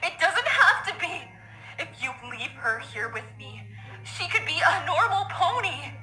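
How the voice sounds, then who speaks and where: A woman voicing a frightened, soft-natured mother pony in an audio story, pleading in a halting, desperate way. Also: extremely high pitch, big pitch swings, roomy sound, soft background music, heavily acted, low-quality recording. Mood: bitter pleading.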